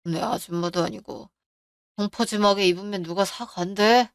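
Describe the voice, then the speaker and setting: A woman's voice: mid-range voice, normal speed, gravelly, no echo, clean studio sound, pitched below the performer's own voice, close to an everyday voice. A woman doing a brash dismissive husband. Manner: dismissive, snapping. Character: brash selfish husband running a nightclub.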